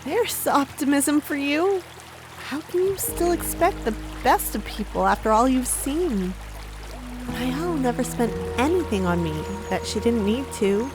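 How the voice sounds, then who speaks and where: Brave defiant young runaway girl, fantasy audio drama, determined, music behind her. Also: fairly high pitch. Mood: wry, bitter. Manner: spirited, determined. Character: brave young woman, defiant rescued runaway turned gang storyteller. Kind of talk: full-cast fantasy audio drama acting.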